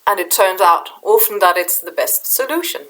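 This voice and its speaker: A middle-aged woman from Denmark with a Danish accent, speaking English softly at her webcam, halting and repeating words as she gropes for them. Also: mid-range voice, poor audio. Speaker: spiritual channeler. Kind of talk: casual spiritual vlog talk. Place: small room indoors. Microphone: webcam in front of her.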